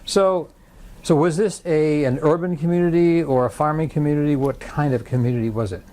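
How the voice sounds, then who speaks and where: A man conducting an oral history interview, asking questions gently and offering prompts. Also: fairly high pitch, fairly quick.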